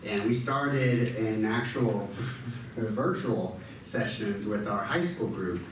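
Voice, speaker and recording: A man's voice: fairly low pitch, slow, background noise. A man working as a speech pathologist, praising a student at an event, who stutters with repeated sounds. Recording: echoey hall, mic far off.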